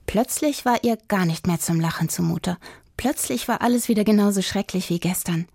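A woman's voice: mid-range voice, moderate pace, gravelly, darkish tone, no echo, clean studio sound. A woman reading scripted narration as the storyteller of a children's audio story.

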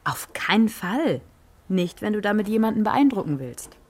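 A woman playing a romantic, nostalgic mother in a children's radio play, with a husky voice and a colloquial, exclaiming delivery. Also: medium pitch, sing-song, moderate pace, darkish tone. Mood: firm, cheerful.

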